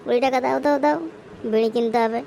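Woman as a high-pitched cheeky Bengali layabout son. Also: fairly even intonation, fast talker, gravelly, dark tone, a little hiss or hum. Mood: demanding. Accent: West Bengal Bengali. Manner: whiny, cajoling, casually cursing. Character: cheeky, idle, beedi-smoking village son. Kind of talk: amateur comedy cartoon dialogue.

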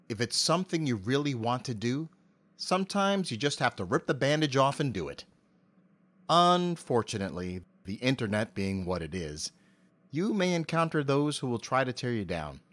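A middle-aged man speaks as an interview guest in a medium-pitched voice. He is articulate and measured, and his answers sound prepared. He talks into a filtered cardioid mic in a home office. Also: fairly bright tone. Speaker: interview guest, amateur voice actor. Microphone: cardioid dynamic mic with pop filter, on a stand.

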